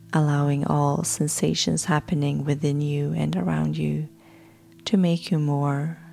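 A low-voiced woman leads a guided sleep meditation as a podcast host. She speaks very slowly and soothingly, with a creaky edge and soft, breathy phrase endings. Music plays behind her. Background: background music.

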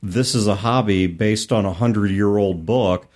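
A man's voice: fairly low pitch, normal speed, a little mellow. A man co-hosting a casual gaming discussion, explaining things with rhetorical questions and asides. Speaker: podcast co-host.

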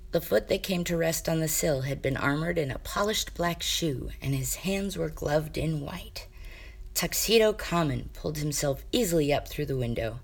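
A woman reading a comic story aloud as an expressive amateur narrator, with wry, dramatic emphasis. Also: sits low. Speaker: amateur fiction reader.

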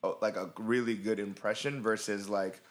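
Male podcast co-host, animated casual talker. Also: lowish voice. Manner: animated, quick bursts, many fillers. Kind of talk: casual chat.

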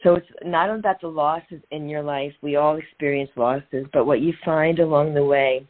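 An earnest, middle-aged woman tells her own story in short, reflective phrases with pauses. She comes through a thin, phone-like line that cuts away the fullness of her voice. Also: sits low, fairly even intonation. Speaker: guest, author and transformational coach. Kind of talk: candid personal story in a podcast interview.

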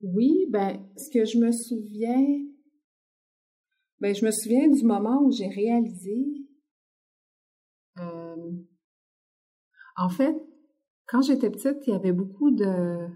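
A middle-aged woman from Quebec with a Québécois accent, talking casually as an interview guest and pausing often on fillers. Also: medium pitch, mellow. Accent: Québécois French. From Quebec, Canada. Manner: hesitant, many fillers. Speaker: guest artist.

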